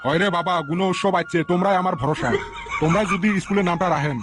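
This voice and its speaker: An exasperated village schoolteacher acted by a man in a cartoon comedy. He talks fast in a high voice, by turns scolding and pleading, over loud music. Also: natural voice. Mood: wheedling. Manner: theatrical scolding, wheedling, lamenting.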